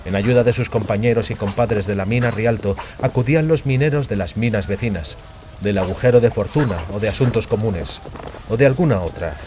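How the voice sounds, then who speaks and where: A man's voice: lowish voice, a little fast, background noise. A man giving a dramatic scripted narration of a fantasy audiobook.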